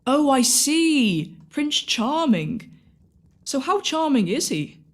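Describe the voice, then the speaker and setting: A woman's voice: sits high, sing-song, slow pace, exaggerated voice. A woman playing a daft, cheery, loyal servant sidekick in an audio pantomime, comically dim and exaggerated. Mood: baffled.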